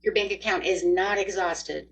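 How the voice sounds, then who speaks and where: Breathy woman in her forties reading tarot. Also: lowish voice, steady tone, normal speed. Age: 40s. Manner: upbeat, chatty, talks straight to viewers. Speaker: tarot reader. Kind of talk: casual tarot card reading.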